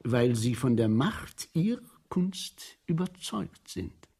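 An actor playing a meek, deferential minor official in a German radio play, answering obediently and hesitantly. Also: male voice, mid-range voice, sing-song, slow, vocal fry, warm tone, breathy edge, full character voice. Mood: timidly curious.

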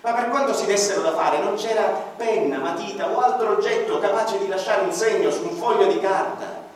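A man's voice: sits high, fairly quick, distant mic. Man narrating in an echoey hall. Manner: grave, dramatic storytelling with sudden drops to a hush. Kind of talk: first-person radio drama narration.

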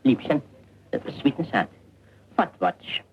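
An actor playing a genial older emigrant husband in 1940s film comedy, with a German accent. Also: male voice, medium pitch, lively intonation, unhurried. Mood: genial, festive. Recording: dull old film soundtrack, faint noise.

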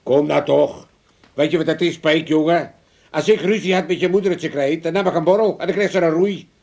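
A man's voice: fairly high pitch, brisk, creaky, a little mellow. An actor playing a cantankerous, bossy old Amsterdam father in a comedy radio play, grumbling and impatient. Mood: gruff, dismissive. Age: older. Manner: grumbling, impatient, working-class wisecracking. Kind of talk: comedic radio drama acting.

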